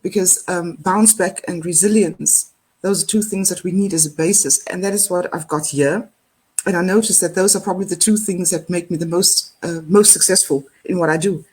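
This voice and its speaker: A woman's voice: on the low side, fairly even intonation, normal speed, darkish tone, breathy edge. A woman with a Cape Town South African accent, chatting animatedly on a video call and laughing often. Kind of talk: casual conversation.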